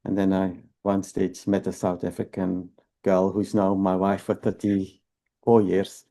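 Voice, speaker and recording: A man's voice: low voice, monotone, unhurried, creaky, mellow. A man in his fifties from Belgium with a Belgian accent, a fertility doctor explaining things patiently, step by step, with tag questions. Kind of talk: explanatory interview talk.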